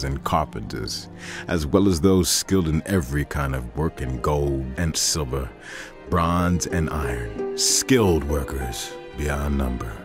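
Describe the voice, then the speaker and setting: An aging Israelite king in an audio Bible drama. He speaks in a low voice, solemn and commanding, declaiming with devout authority. Music plays clearly behind him. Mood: solemn, instructing.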